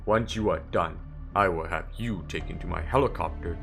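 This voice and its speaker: Guy doing a evil priest villain voice, really low, calm n formal, kinda condescending, fan dub w music behind it. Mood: cool, matter-of-fact. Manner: measured, formal, smoothly condescending. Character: calm, sinister priest villain, politely menacing adult man. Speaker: amateur voice impressionist. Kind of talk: dramatic fan dub character acting. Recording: background music under the voice.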